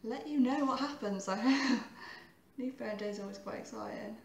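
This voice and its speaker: A woman in her thirties with a croaky voice, giving a chatty, rambling makeup tutorial and often correcting herself. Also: fairly high pitch, a little slow, echoey room. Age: 30s.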